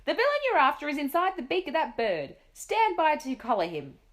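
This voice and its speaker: A woman voicing a blustering, excitable old duke who bellows orders, in a lively storybook reading. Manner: booming, pompous, hurried shouts.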